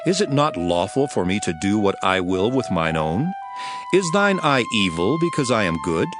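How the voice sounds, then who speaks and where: A man playing a solemn teacher of parables in a dramatized scripture recording, speaking in a measured, declamatory way. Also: fairly low pitch, sing-song, over music. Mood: storytelling, emphatic.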